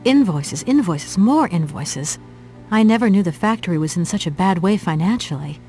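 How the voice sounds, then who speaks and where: A woman's voice: fairly low pitch, expressive, moderate pace, mellow, faint music behind. An actress voicing a polite, bemused young professional woman in an adventure game, speaking in a thoughtful, conversational way. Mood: dismayed, musing.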